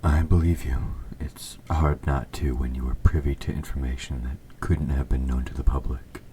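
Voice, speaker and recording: A man playing an evil king in an audio roleplay, speaking to the listener in a formal, measured, politely sinister way. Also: extremely low pitch, little pitch movement, mellow. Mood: earnest, persuasive. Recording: faint background noise.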